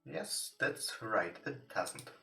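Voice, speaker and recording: Male let's play host, non-native English speaker, halting read, forward bright tone, echoey room with music behind. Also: fairly low pitch, animated, creaky. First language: not English. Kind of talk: reading visual novel text aloud. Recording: roomy echo, distant from mic. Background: soft guitar game music.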